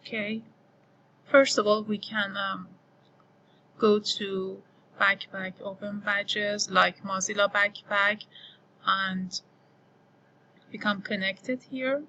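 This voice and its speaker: Farsi-speaking woman instructor, screen tutorial, unhurried, bright tone, lots of "uh"s. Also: mid-range voice, fairly even intonation, a little hiss or hum, poor audio. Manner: unhurried, thinking aloud with frequent fillers. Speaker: online course instructor. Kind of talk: screen-recorded tutorial walkthrough.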